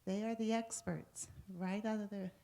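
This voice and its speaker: A woman's voice: mid-range voice, steady tone, moderate pace, creaky, dark tone. A woman informally hosting a live question-and-answer panel as its moderator.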